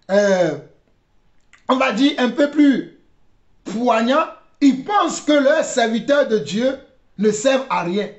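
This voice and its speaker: Male commentator-preacher, strikingly high voice, halting emphatic delivery, muffled roomy sound. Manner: deliberate, halting, repeats phrases for emphasis. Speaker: religious commentator. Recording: dull, roomy, echoing recording.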